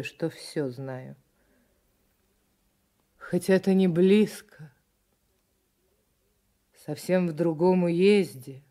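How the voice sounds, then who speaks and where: A woman's voice: low-pitched, mellow. Folksy, chatty older village woman. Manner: folksy, emphatic. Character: chatty older village woman, scolding, superstitious, motherly confidante. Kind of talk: radio drama acting.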